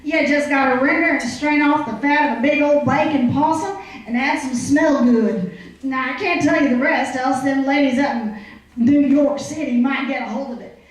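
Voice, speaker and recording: A proud, chatty country woman , acted by a woman, talks in a high voice as in a comic radio testimonial. The sound is very echoey, like a live stage. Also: normal speed, natural voice. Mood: proud, folksy. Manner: folksy, homespun, chatty. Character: folksy proud country woman, homespun possum-fat cream maker.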